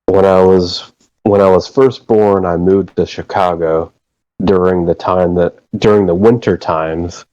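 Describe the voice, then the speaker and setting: A man from the United States, a guest on a casual phone call, talking in a hesitant, halting way. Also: low voice, fairly even intonation, dark tone, no room sound.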